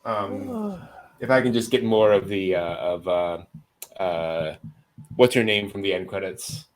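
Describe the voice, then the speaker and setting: Male host, low voice, informal panel talk with frequent chuckles. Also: unhurried, low-quality recording. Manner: chuckles often. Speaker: host of an anime news show. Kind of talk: casual panel chat.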